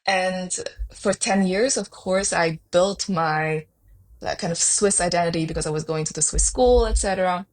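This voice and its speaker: A young woman from Vietnam in a casual interview, telling her story in a chatty flow. Her voice sits a little low, and she often pauses on fillers and corrects herself mid-sentence. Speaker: guest, consultant.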